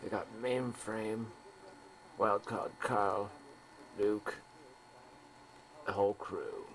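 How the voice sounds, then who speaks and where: A man's voice: on the low side, steady tone, drawn-out words, low-quality recording. A man playing a grief-stricken soldier, a massacre survivor, in an amateur audio drama with anguished, dramatic delivery. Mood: defeated grief. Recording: homemade 1990s recording, faint background noise.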